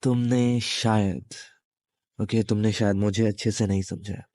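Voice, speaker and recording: A man playing a menacing, possessive demon in an intimate audio roleplay, taunting the listener in a halting delivery with long pauses. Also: on the low side, steady tone, dark tone, no room sound, clean studio sound, close to an everyday voice. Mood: taunting defiance. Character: menacing, possessive male demon, captive turning predator.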